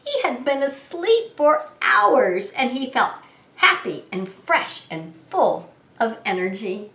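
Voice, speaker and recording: Very slow, expressive woman reading a storybook. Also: fairly high pitch. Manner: dramatic, drawn-out emphasis for small children. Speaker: preschool story time host. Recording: roomy, echoing sound.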